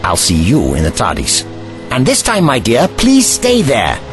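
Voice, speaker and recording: A man's voice: mid-range voice, huge pitch swings, unhurried, music in the background. A man playing an older, commanding and protective time traveler in an audio drama, speaking formally and precisely in an avuncular way. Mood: calm, firm. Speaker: actor in a sci-fi audio drama.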